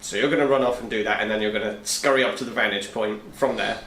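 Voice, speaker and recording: A man's voice: fairly low pitch, steady tone, fast, a bit bright. A man playing a tabletop game, talking casually as he plans his character's moves. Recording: roomy echo, faint background noise.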